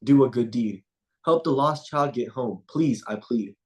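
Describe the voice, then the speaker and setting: A young man, a student poet whose first language is Punjabi, reciting a spoken-word poem in a measured, rhythmic way over a video call, then chatting casually. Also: medium pitch, a little mellow.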